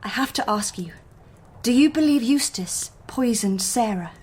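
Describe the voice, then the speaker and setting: An actress playing a determined, earnest young Victorian wife turned amateur detective in a radio drama, speaking in a probing way. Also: female voice, a little high, animated, normal speed, a little mellow, husky.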